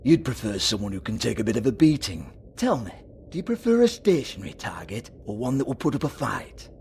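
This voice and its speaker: A man's voice: mid-range voice, very animated, a little fast, gravelly, a little mellow, a little hiss or hum. An actor playing a bullying, sleazy male brothel boss in a video game, swearing, mocking and berating. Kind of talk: video game cutscene acting.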